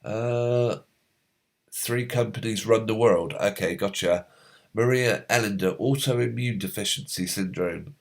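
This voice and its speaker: A man hosting a live stream, reading out viewers' comments in a halting, rambling way, his voice going hoarse as a cold comes on. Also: fairly low pitch. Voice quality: slightly hoarse. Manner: rambling, halting, many fillers.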